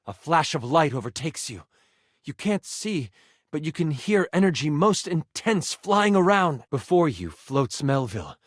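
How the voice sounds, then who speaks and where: A man playing an eager game-master character, narrating a tabletop fantasy adventure with dramatic, expressive delivery. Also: fairly high pitch, a little slow, no echo. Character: animated game-master character, eager storyteller, a living die.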